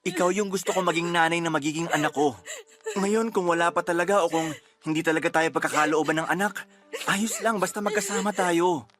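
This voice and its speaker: Actor as an earnest, consoling young husband. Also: male voice, fairly high pitch, fairly quick, crowd noise, lightly acted. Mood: earnest, emotional. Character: patient, devoted, reassuring young husband. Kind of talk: Tagalog radio drama acting.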